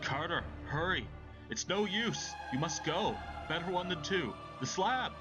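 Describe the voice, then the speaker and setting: A man's voice: fairly high pitch, expressive, unhurried, pitched above the performer's own voice. Man acting out a terrified, quavering, despairing man. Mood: desperate resignation. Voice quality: hoarse, quavering, whispery. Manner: urgent, pleading exclamations. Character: terrified, despairing man calling from a tomb below. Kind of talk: dramatic horror story reading. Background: loud background music.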